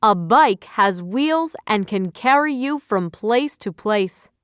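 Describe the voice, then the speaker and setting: A woman's voice: medium pitch, lively intonation, slow pace, fairly bright tone, clear, resonant tone, no echo, clean studio sound. A woman reading a scripted vocabulary quiz for learners in a carefully phrased, instructional way. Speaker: vocabulary teacher.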